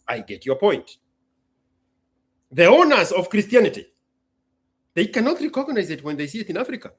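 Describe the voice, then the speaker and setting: Guy from kenya hosting a casual q&a talk, husky voice, really swoops up and down, emphatic and repeats stuff. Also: high voice, huge pitch swings, moderate pace. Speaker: host. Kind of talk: casual solo talk to an audience.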